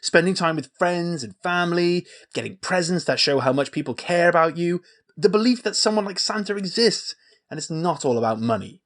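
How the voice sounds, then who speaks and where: A man's voice: high-pitched, expressive, moderate pace, a bit bright. An actor playing an earnest, sentimental young man in an audio drama, speaking sincerely like a lonely true believer. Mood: earnest, pitying. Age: young adult. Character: earnest, sentimental grown man who still believes in Santa. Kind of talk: naturalistic audio drama dialogue.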